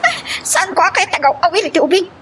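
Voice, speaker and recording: A woman's voice: extremely high pitch, lively intonation, a little fast, a bit bright. A woman with an Ilocano accent playing an emotional mother and wife in a family love drama. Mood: pleading, agitated. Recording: clear background noise.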